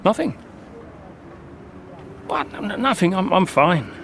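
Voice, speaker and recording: An actor playing a veteran racing driver close to retirement, talking in a measured, quietly advising way, in a video game cutscene. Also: male voice, sits high, very animated, vocal fry, slight background noise, exaggerated voice. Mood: defensive. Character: seasoned male racing driver, steady and guarded.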